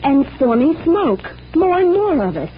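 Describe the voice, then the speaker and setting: A woman's voice: sits high, very animated, moderate pace, soft background music. A woman playing a wide-eyed, eager magician's assistant in old-time radio drama style. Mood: helpful. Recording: muffled vintage radio recording.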